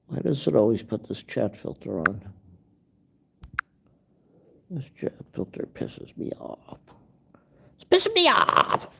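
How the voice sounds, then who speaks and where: A man's voice: medium pitch, huge pitch swings, takes it slowly, creaky, long pauses, sharp tone, slight background noise. A man with a nasal, stuffed-up voice, rambling and grumbling through a casual livestream. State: stuffed-up nose. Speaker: livestream host.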